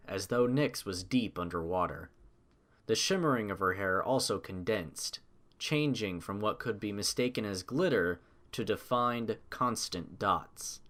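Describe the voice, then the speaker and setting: A man narrating a scripted story with expressive, dramatic, measured delivery. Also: on the low side.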